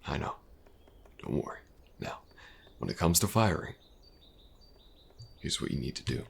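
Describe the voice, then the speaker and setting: A man playing an elven mercenary companion in an intimate fantasy audio roleplay, patient and gentle, with a hesitant, casual way of talking. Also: animated, slight background noise, heavily acted. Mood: reassuring, instructive. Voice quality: very deep, gravelly. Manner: hesitant, soft-spoken, casual, with halting pauses.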